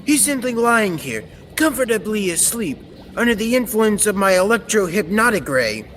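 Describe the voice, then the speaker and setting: Man playing an eccentric high-voiced radio scientist. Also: sing-song, moderate pace, fairly bright tone. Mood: reassuring, proud. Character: kindly eccentric male scientist of the future, absorbed and reassuring. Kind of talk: old-time radio serial acting. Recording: reverberant, noisy stage-like recording.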